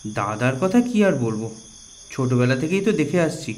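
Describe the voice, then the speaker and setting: A man's voice: medium pitch, big pitch swings, moderate pace, a little mellow, natural voice. A man voicing a naive young nobleman heir who speaks hesitantly and stumbles, in an audio drama. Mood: plaintive.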